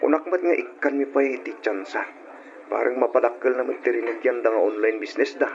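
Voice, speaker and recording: A man acting in an old radio drama as a patient, kind-hearted, placating husband with a creaky voice. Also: medium pitch, fairly quick, darkish tone, close to an everyday voice. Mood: earnest. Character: patient, kind-hearted husband who helps his relatives. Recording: muffled, noisy old radio drama recording.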